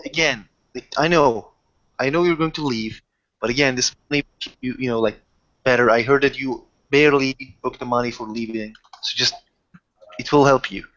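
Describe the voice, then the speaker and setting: A man's voice: medium pitch, fairly bright tone, lightly acted. Man playing a protective werewolf, dull lo-fi sound. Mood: earnest, insistent. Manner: halting, repetitive, earnest pleading. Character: protective, insistent high-ranking werewolf man offering help. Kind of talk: improvised tabletop roleplay dialogue.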